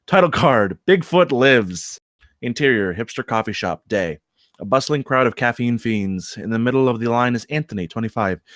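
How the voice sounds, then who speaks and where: A man reading screenplay stage directions aloud as narrator, with deliberate dramatic emphasis. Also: mid-range voice, dry recording, clean studio sound.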